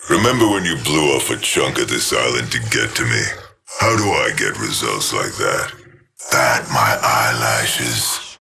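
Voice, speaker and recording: A man's voice: a bass voice, lively intonation, unhurried, creaky, sharp tone, slightly rough, faint music behind, heavily acted. An actor playing a menacing male robot killer who taunts and mocks his enemies. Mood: taunting. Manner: mocking, taunting.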